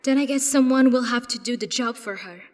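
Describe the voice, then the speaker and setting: An actress playing an indignant, resolute military agent in an audio drama, speaking in a stern, accusing way. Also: female voice, on the high side, fairly quick, lightly acted. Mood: grave, resolute. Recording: long hall reverb.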